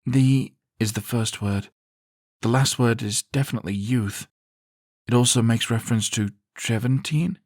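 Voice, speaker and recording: A weary young woman with a soft, gentle way of speaking, played by a man reading the story. Her voice sits noticeably above the narrator's own. The sound is dry and close to the mic. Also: mid-range voice, a little slow, no echo, pitched above the performer's own voice. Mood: wistful. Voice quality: soft-spoken. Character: softly spoken young woman, weary and sad.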